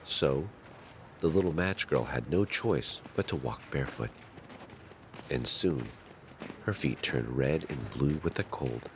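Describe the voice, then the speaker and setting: A man narrating a fairy tale in a measured, dramatic storytelling manner. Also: rumbling low, lively intonation, background noise.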